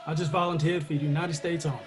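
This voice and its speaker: A young actor playing a restless, earnest teenage son in a dramatic table read, speaking insistently. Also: male voice, a little high, steady tone, rapid-fire, faint music behind, natural voice. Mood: urgent, defiant.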